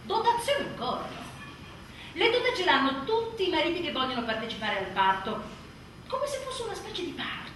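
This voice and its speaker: A woman's voice: very high voice, very animated, moderate pace, a bit bright, exaggerated voice. An actress playing an exhausted, sardonic new mother in a theatrical radio monologue, emphatic and full of sarcasm. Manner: emphatic, sarcastic, mimicking others mockingly. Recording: echoey room, distant mic, faint noise.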